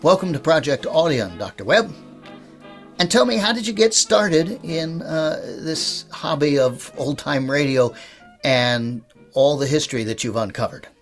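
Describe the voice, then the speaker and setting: A man's voice: fairly high pitch, animated, a little slow, faint music behind. A man speaking as a host, introducing a program and interviewing a guest. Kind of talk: podcast-style introduction and interview.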